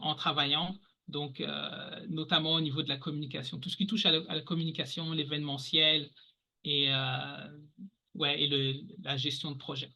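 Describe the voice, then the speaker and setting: A man's voice: on the high side, vocal fry, sharp tone. A man from the Paris region speaking as a podcast guest in his forties, talking casually with many fillers and restarts. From France, Paris region. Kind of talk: casual interview talk.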